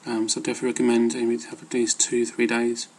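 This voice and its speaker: A man's voice: mid-range voice, completely flat delivery, very fast. A man giving casual, rambling advice as an amateur fitness vlogger, hesitant and loosely worded.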